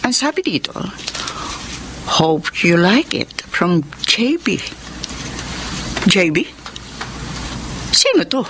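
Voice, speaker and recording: An imperious, animated low-voiced lady boss. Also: slow, pauses often, noisy background, exaggerated voice. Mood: surprised, pleased. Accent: Filipino. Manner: imperious, switching between Tagalog and English. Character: stern middle-aged female boss, haughty, guarding her image. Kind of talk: Philippine radio drama acting.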